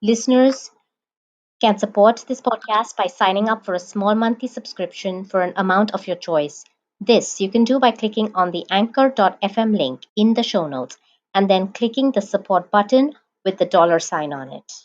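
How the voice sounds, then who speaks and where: A woman hosting a podcast, speaking in a measured, deliberate way as she introduces the show and interviews a guest. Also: medium pitch, animated. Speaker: podcast host. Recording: dull lo-fi sound.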